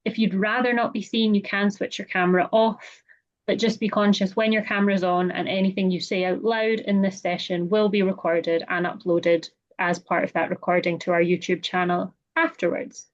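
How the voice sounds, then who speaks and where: A woman's voice: medium pitch, flat delivery, normal speed. Scottish woman hosting a video call. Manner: chatty and welcoming, frequent "um" fillers. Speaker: webinar host. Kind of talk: hosting an online meeting, housekeeping announcements. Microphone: computer or headset mic on a video call. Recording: slightly dull video-call audio.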